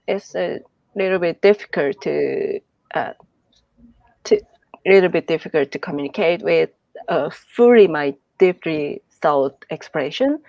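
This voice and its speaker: A woman who is not a native English speaker, an artist speaking haltingly and searching for her words in an online meeting. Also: deep voice, vocal fry, darkish tone, no echo. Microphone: video call. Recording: dull lo-fi call audio.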